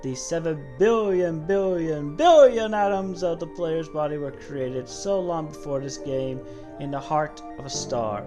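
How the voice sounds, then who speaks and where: Lady doing a low solemn god storyteller from a game, measured but dramatic, music behind. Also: expressive. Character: low-voiced godlike cosmic being, solemn storyteller. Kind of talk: dramatic reading of game dialogue.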